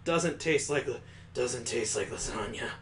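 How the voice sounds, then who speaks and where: A man voicing a dazed, deadpan, odd little girl from a visual novel, muttering flatly. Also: medium pitch, unhurried, slight background noise, pitched below the performer's own voice, lightly acted. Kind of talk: visual novel character reading.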